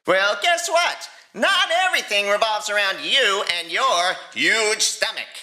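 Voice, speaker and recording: A man voicing an angry, sarcastic young Viking dragon rider in an animated fan fiction audio drama. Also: high voice, measured pace, exaggerated voice, poor audio. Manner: ranting, sarcastic, exaggerated.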